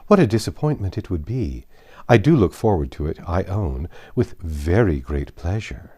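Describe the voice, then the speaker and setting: A man's voice: deep voice, normal speed, husky, full character voice. Man reading as eager genteel young lady. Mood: eager, hopeful. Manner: earnest, animated, genteel. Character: polite, eager young Regency gentlewoman. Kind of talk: acted dialogue within a novel reading.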